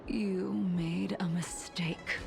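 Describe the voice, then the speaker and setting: An actress playing a solemn, grieving veteran swordswoman in a video game, with a soft, breathy, restrained delivery. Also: fairly low pitch, flat delivery, over music, exaggerated voice. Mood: grave, reproachful. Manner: slow, measured, grave. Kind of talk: English-dubbed video game story acting.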